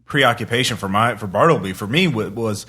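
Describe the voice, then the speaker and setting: A man's voice: lowish voice, animated, a little fast, sharp tone, breathy edge. A man hosting a casual podcast chat, rambling and often rephrasing himself as he goes. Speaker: podcast host.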